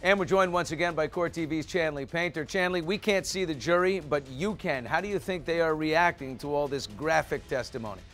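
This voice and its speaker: Higher-pitched male news narrator. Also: a bit bright, soft background music. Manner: brisk, punchy delivery. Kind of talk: scripted news voice-over.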